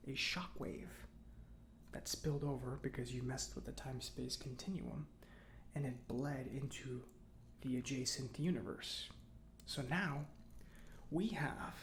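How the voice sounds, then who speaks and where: Soft-spoken, creaky-voiced man as a chatty mechanic. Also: medium pitch, a little hiss or hum. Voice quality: soft, breathy, often near whisper. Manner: halting, musing, conversational. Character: chatty, opinionated male government robot mechanic, gently confiding. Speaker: ASMR roleplay performer. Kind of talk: soft-spoken ASMR roleplay.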